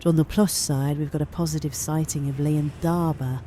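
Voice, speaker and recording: A woman acting as a no-nonsense but compassionate senior detective in an audio drama, steady and plain-spoken. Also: low-pitched, normal speed, slight background noise, natural voice. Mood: grave, businesslike.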